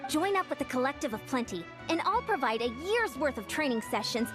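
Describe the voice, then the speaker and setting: A woman voicing a spirited, competitive young woman in a video game, eager and energetic like a keen saleswoman. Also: high voice, a little fast. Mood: persuasive. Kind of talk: video game character acting. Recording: game music playing behind.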